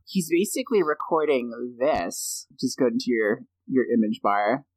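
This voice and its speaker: A man's voice: mid-range voice, lively intonation, measured pace, no room sound, poor audio. A man guesting on a podcast, telling a story in a chatty, jokey way and reading quotes aloud. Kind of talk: casual storytelling chat.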